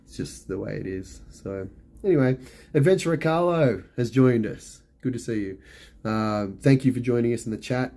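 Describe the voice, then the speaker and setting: A middle-aged man with a hoarse, fading voice, chatting casually on a live stream and answering viewers' questions with lots of ums and you-knows. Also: mid-range voice, normal speed, mellow. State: hoarse, losing his voice.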